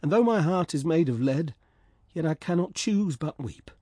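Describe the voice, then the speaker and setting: A man's voice: a little high, expressive, moderate pace, mellow, slightly rough, no echo, clean studio sound, pitched above the performer's own voice. A man voicing a sorrowful golden statue of a dead prince, telling his story in a wistful, stately way.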